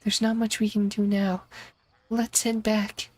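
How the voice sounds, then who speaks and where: An earnest, worried character in a fantasy game, read by a woman, speaks with loyal concern. The voice is medium in pitch, with music playing behind it. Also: little pitch movement, measured pace, a little mellow, close to an everyday voice. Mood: resigned.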